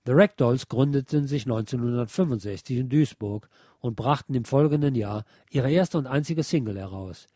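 Male radio presenter, scripted book narration, relaxed dark tone, articulate, dry close sound. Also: mid-range voice, normal speed, dry recording. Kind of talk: scripted narration, read aloud from a nonfiction book.

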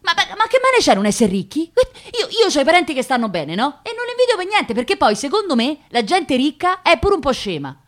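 A woman's voice: high-pitched, big pitch swings, fairly quick, hardly any pauses. An actress playing a proud, touchy young working-class woman with a Roman accent, talking colloquially and emotionally in a radio play. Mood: indignant, agitated. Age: young adult. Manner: colloquial, repeating words, emotional. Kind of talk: theatrical radio drama monologue.

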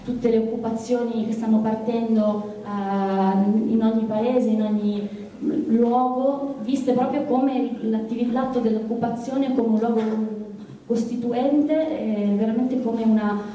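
A woman giving an unscripted political speech as an activist, haltingly repeating words as she searches for phrasing. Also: a little high, fairly even intonation, dark tone. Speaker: activist speaker at a press conference. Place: large indoor theatre. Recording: very echoey hall, distant microphone.

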